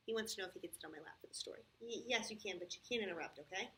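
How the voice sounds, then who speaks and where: A woman hosting a story time for young children, talking in simple, patient, expressive phrases with frequent questions. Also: mid-range voice, racing. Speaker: children's church story host.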